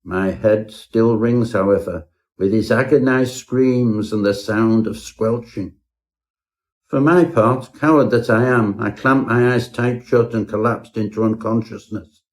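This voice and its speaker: A male reader plays a self-important Edwardian gentleman scholar. His voice sits on the low side. He tells a mock-Victorian ghost story with florid, melodramatic relish, as an audiobook narrator. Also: measured pace, close to an everyday voice. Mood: pompous, rueful. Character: pompous Edwardian professor narrating his own horror tale.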